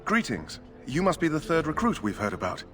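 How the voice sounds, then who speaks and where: Brisk, courteous knight. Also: male voice, on the high side, huge pitch swings, a bit bright, faint music behind. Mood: earnest, polite. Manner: formal, courteous, earnest. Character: earnest, courteous knight eager to prove himself. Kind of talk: fantasy game dialogue.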